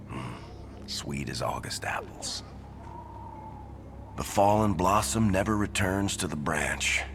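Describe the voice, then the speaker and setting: An actor playing a weathered, middle-aged island occult investigator in a video game, speaking in a folksy, reflective way with phrases trailing into a breathy murmur. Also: male voice, low-pitched, noisy background, heavily acted. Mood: wistful. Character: weathered, wistful small-island occult investigator, an older man. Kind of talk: video game character monologue. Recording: music playing behind the voice.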